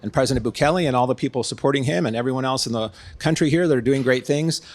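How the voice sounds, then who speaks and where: A man's voice: medium pitch, racing. A middle-aged man with an American accent, speaking as an interview guest in a conversational, explanatory way. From United States, San Diego, California. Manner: explanatory, uses everyday analogies. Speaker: guest, real estate consultant and former executive.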